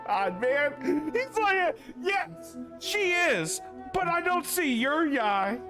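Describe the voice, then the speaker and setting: A male game streamer talks casually at a slow pace, with big swings in pitch. He is slangy and exclamatory and repeats phrases, over clearly audible game music. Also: sing-song. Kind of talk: casual live stream chat.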